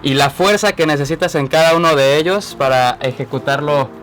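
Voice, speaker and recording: A man's voice: a little high, brisk, fairly bright tone, slight background noise. A man from Mexico explaining a climbing move outdoors in casual talk. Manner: playful, explains climbing terms. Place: outdoors in a forest.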